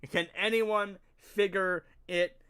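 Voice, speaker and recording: A man's voice: high-pitched, steady tone, slow, fairly bright tone, clear, resonant tone. Nasal, stuffed-up young man streaming. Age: young adult. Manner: chatty, slangy, talks straight to chat. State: stuffy, congested nose. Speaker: game streamer. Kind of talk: casual live-stream gameplay commentary.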